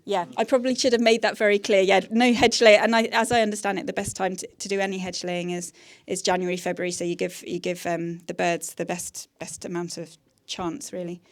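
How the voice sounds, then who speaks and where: A woman's voice: medium pitch, flat delivery, brisk. A woman answering audience questions in a seminar, hesitant with many fillers. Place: seminar room.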